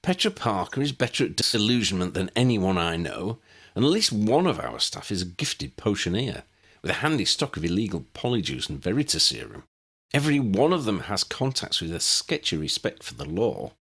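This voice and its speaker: A man's voice: lowish voice, very animated, fairly quick, fairly bright tone, husky, voice pushed up, exaggerated voice. Guy playing brash jokey young wizard, blunt, voice pushed up, storybook style.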